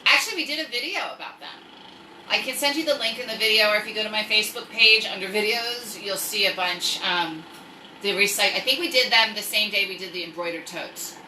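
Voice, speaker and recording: Very bright, chatty woman in echoey room. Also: mid-range voice, brisk, recorded from a distance. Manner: rambling, self-interrupting, animated asides. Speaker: online storytime host and craft seller. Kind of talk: casual livestream chat. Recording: echoey room, off-mic, faint noise.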